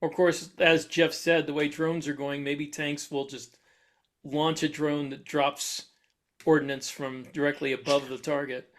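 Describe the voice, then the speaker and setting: Male co-host, mildly higher-pitched, relaxed discussion style, conversational and curious. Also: a little slow. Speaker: podcast co-host. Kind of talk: casual discussion.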